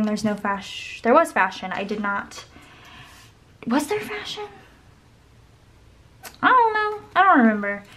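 A young woman with a voice going hoarse chats casually while she decorates her planner at a desk at home. She rambles and counts under her breath as she works. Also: sits high, a bit bright. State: losing her voice. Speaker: planner vlogger. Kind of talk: casual plan-with-me chat. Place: home desk, camera overhead.

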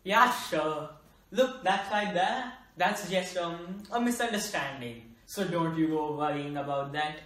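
A young performer voicing a friendly village man who talks in a slow, soothing, reassuring way. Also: medium pitch, animated, fairly bright tone, voice pushed down. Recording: echoey room, voice far from mic.

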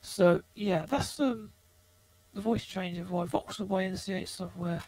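A man giving a casual, offhand, unscripted running commentary for a software demonstration. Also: sits high, fairly even intonation, unhurried, no room sound. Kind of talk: casual rambling screen-recording commentary.

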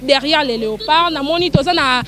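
A young Congolese woman reporting on the street, chatty and lively, holding a handheld mic outdoors in a busy neighbourhood. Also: high voice, a little fast, background noise. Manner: lively, chatty, mixes French and Lingala. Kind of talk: street interview.

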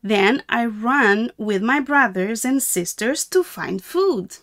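A woman voicing a friendly little meerkat in a children's storybook, with a simple, deliberate, childlike sing-song delivery. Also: on the high side, expressive, fairly bright tone.

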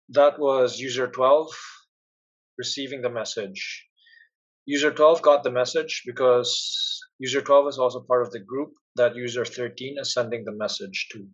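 A man giving a plain, instructional step-by-step narration as a software tutorial presenter. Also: medium pitch, husky, lo-fi sound.

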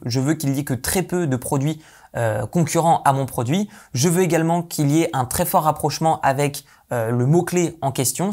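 A man in his thirties giving a brisk, explanatory tutorial to camera, seated indoors in a dim room at home. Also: fairly high pitch, a little mellow. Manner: brisk, explanatory, repeats "et donc de ce fait". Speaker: online business coach.

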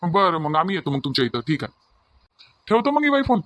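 A man's voice: a little high, very animated, fairly quick, fairly bright tone, no room sound. A man playing a mild, deferential village family man in a cartoon, hesitant and repetitive, with a Varhadi Marathi accent. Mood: polite, flustered. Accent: Varhadi (Vidarbha) Marathi. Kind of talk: amateur Marathi cartoon family comedy acting.

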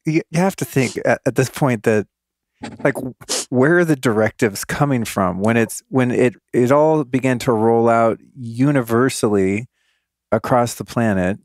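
A male podcast host chats casually, asking curious questions of his guest. His medium-pitched voice sounds close and dry, with no room echo. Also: moderate pace. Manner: curious, asks probing questions. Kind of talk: casual interview chat.

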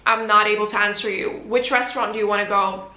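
A woman's voice: mid-range voice, fast talker, reverberant, low-quality recording. A woman in her twenties from Belgium whose first language is Dutch, chatting casually to camera in a question-and-answer vlog, gesturing as she talks. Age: 20s. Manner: chatty, animated hand gestures. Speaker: vlogger. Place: bright room at home, indoors.

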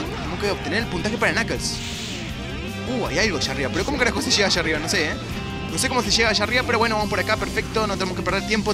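Young male gamer, high voice, Latin American Spanish accent, excitable casual commentary over loud game music. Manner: excitable, repetitive, mild swearing. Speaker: gaming video host. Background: video game music and sounds.

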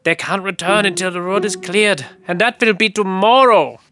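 A woman's voice: mid-range voice, normal speed, music in the background, natural voice. A woman voicing an earnest, polite young boy who runs a hotel in a children's cartoon. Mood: worried. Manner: polite, earnest, service-minded.

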